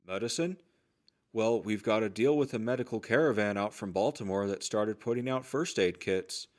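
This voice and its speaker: A man's voice: fairly low pitch, normal speed, lightly acted. Man playing an eager wasteland shopkeeper. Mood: eager, obliging. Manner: eager salesman's patter. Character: chatty, obliging male general-store trader in a wasteland town. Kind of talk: post-apocalyptic audio drama acting.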